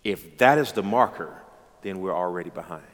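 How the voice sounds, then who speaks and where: A man's voice: low-pitched, moderate pace, a bit bright, in a large hall. A man in his late thirties, a pastor, talking in a dialogue with an emphatic, preacherly way of speaking. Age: 30s.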